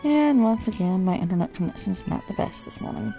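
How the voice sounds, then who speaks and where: A woman's voice: medium pitch, expressive, fairly quick, vocal fry, mellow, soft background music. A woman game streamer giving casual livestream commentary.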